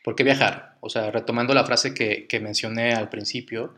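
A man from Guadalajara with a Mexican Spanish accent, co-hosting a travel podcast in easygoing chat that trails off softly at the ends of phrases. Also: medium pitch, fairly even intonation, normal speed. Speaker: podcast co-host. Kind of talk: casual chat.

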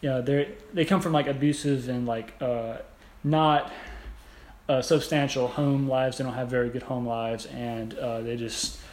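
A young adult man co-hosting a podcast in casual, halting, rambling, informal talk. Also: medium pitch, steady tone, a little mellow. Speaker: podcast co-host. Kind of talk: casual conversational podcast chat. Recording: echoey room, background noise.

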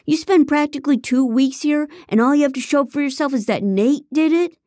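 A woman voicing a hot-tempered, scornful man who shouts his contempt, pitched far above her own voice. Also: fairly quick, no echo, clean studio sound, natural voice.

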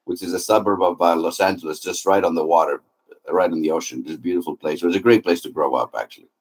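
A man's voice: sits low, fast, darkish tone, poor audio. A middle-aged man from Greece, a guest on an interview podcast, answering in a friendly, talkative way with frequent fillers. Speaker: guest, happiness author and speaker.

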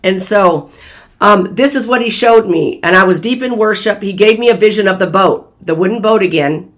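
Hoarse speaker sharing a prophetic word. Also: medium pitch, normal speed, a bit bright. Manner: earnest, talks straight to viewers as "you guys". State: hoarse, losing voice. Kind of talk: casual spoken prophecy to viewers.

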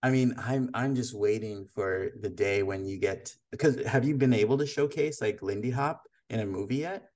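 A man's voice: fairly low pitch, normal speed, few pauses, darkish tone. A man hosting a casual podcast interview, friendly and teasing, rambling with restarts. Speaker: podcast host.

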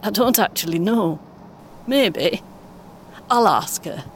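A woman playing a kindly, encouraging British grandmother in her eighties in an audio play, speaking gently and reassuringly. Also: animated, slow. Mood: friendly. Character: kindly, encouraging 81-year-old grandmother on a coach. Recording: clear vehicle rumble noise. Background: vehicle ambience.

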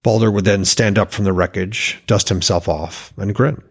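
A man's voice: fairly low pitch, steady tone, moderate pace, husky. A man narrating a scripted fantasy story as its author and podcast reader.

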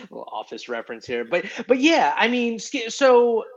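A man's voice: very high-pitched, a little slow. Man on a muffled line, chatty. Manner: relaxed, heavy use of "like" filler. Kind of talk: casual conversation.

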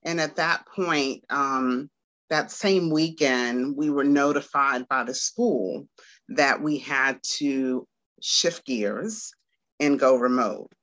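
Woman from washington d.c., unhurried, many pauses, bright tone, dull lo-fi audio. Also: very deep voice. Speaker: guest, Montessori teacher. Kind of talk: casual interview answers.

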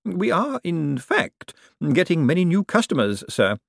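A man's voice: fairly high pitch, very animated, a little slow, vocal fry, no echo, clean studio sound, pitched above the performer's own voice, exaggerated voice. A man voicing a deferential, joyless senior bank clerk in an audiobook, formal, hesitant and guarded. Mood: guarded, polite. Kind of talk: audiobook character dialogue.

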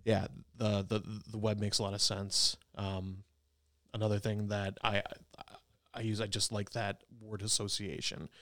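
A man from Wisconsin hosting a podcast, talking things through in a measured, thoughtful way and repeating words as he goes. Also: on the low side, little pitch movement, pushed and bright, no room sound, clean studio sound. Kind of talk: casual podcast chat.

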